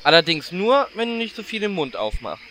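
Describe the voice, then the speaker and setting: A man's voice: high-pitched, sing-song, a little fast, noisy background. A man playing a cheeky, blunt orc warlock who taunts and whines. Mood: mocking. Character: brash, taunting orc warlock, formerly a gnome.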